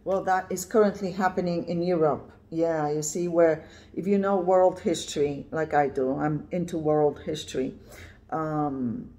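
A woman's voice: low voice, fairly even intonation, unhurried, warm tone. A woman in her fifties from Poland giving an intuitive tarot reading, emphatic and repeating "yeah" and "right?" as she talks. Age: 50s. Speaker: tarot reader. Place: indoors at a card table, camera on the cards.

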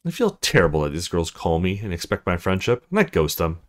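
A brooding young man from a visual novel , acted by a male player, thinks aloud in a low voice. He sounds musing and self-reproachful. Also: big pitch swings, fairly quick.

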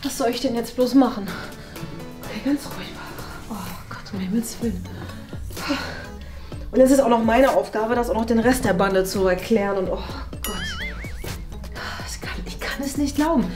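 A woman's voice: on the high side, normal speed, pauses often, over music, natural voice. A woman playing a flustered, indignant older headmistress in a comedy sketch, dramatic and stammering. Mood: despairing. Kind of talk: improvised comedy sketch acting. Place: indoor corridor and meeting room.

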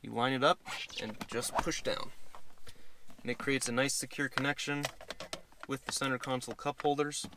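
A man's voice: mid-range voice, fairly even intonation, normal speed, long pauses. An American man in his thirties giving an easygoing, casual step-by-step how-to demonstration inside a vehicle. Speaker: product demonstrator. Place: inside a parked pickup truck cab. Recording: clear background noise, clicks and handling sounds.

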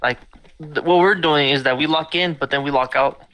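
A man's voice: on the high side, moderate pace, fairly bright tone, low-quality recording. A man bantering casually with friends while gaming, exclaiming and swearing over voice chat. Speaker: video game player.

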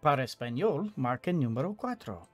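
A man's voice: medium pitch, very animated, a little fast. A middle-aged man giving casual, playful, rambling commentary as he plays a game. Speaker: streamer, let's player. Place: indoors at a desk. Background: game music.

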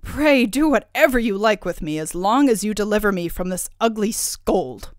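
A woman's voice: mid-range voice, steady tone, moderate pace, dry recording, clean studio sound, lightly acted. A woman voicing a proud, lovelorn young king in a fairy tale, stubborn and indignant. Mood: defiant.

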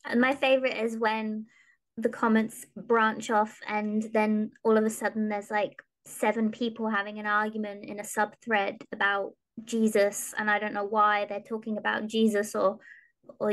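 A young woman with a high voice, chatting casually as a podcast guest. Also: level tone, moderate pace. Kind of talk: casual chat. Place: small room at home, video call.

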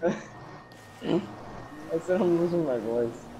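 Slow-talking young man joking around. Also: on the high side, noisy background. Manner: joking, teasing, laughs often. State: losing his voice. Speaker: gamer. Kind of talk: casual gaming banter.

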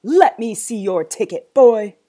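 A woman's voice: lively intonation, unhurried, mellow. A woman voicing a pompous, furious male zoo owner who bellows and blusters indignantly in a storybook reading. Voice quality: booming, bellowing. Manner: blustering, indignant, emphatic questions.

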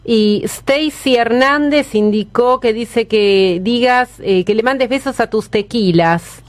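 A woman's voice: a little high, slow, no echo. An Argentine woman co-hosting a radio interview, casually passing on messages from listeners.

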